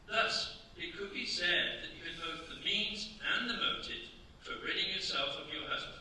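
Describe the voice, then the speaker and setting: A man's voice: high voice, sharp tone. A man playing a stern, relentless French official in a staged radio play, speaking formally and accusingly. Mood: stern, probing. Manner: formal, accusatory, deliberate phrasing. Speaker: amateur radio play actor. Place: live theatre stage. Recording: echoey stage, distant mic, faint noise.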